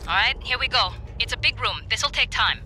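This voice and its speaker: A woman's voice: on the high side, expressive, a little fast, pushed and bright, slight background noise. A woman acting a tough, sardonic dropship pilot who commands marines bluntly in a video game. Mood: brisk, commanding.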